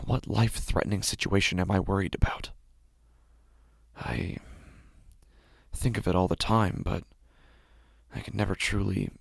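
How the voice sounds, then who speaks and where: A man playing an exhausted, anxious father, narrating a first-person horror audio drama in a gravelly, husky, halting voice. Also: on the low side, no room sound, studio quality. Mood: anxious, urgent. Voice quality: gravelly, husky, often breathy. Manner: hushed, halting delivery with heavy sighs. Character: exhausted, anxious father haunted by his daughter's calls.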